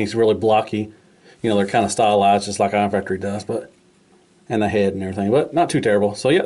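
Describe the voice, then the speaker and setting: A man's voice: lowish voice, mellow. A man giving a casual, hands-on toy review in an informal, folksy way, repeating his phrases.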